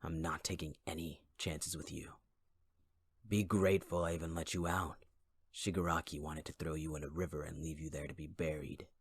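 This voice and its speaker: Man doing a cruel fire villain, very deep voice, husky, dry close mic, taunting. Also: little pitch movement, normal speed, no echo, heavily acted. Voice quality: husky low voice, close whispers. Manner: mocking, cruelly patient, intimate. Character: taunting scarred young male fire villain. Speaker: voice actor in audio roleplay. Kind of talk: ASMR audio roleplay acting.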